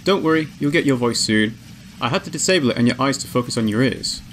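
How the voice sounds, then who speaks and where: A man's voice: medium pitch, animated, fast talker, fairly bright tone, a little hiss or hum, close to an everyday voice. A man voicing a shy, friendly woman scientist in a visual novel, upbeat, reassuring and easily flustered. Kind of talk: visual novel character acting. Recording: music behind the voice.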